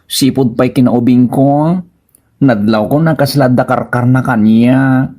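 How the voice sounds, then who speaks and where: Man playing slow, pleading young transgender Ilocano. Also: mid-range voice, steady tone, mellow, lightly acted. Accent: Filipino, Ilocano. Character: pleading transgender adult child of stern father. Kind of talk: Ilocano radio comedy drama acting.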